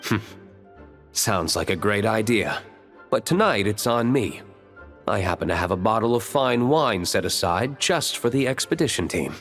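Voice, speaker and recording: A man voicing a measured, wry gentleman in an anime game, mildly skeptical and generous. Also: lowish voice, expressive, faint music behind. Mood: genial.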